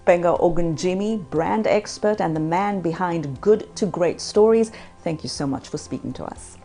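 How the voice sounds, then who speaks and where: A woman hosting a news magazine, presenting and interviewing with a polished, clear delivery. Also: lowish voice, moderate pace, mellow, faint music behind.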